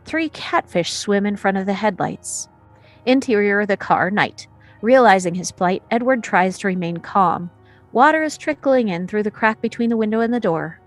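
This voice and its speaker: A woman narrating scene headings and action descriptions as scripted narration in a table read. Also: medium pitch.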